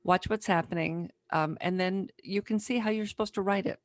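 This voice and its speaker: A woman host chatting casually and giving practical advice in a halting, conversational way. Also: mid-range voice, steady tone, no echo. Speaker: interview host, writer.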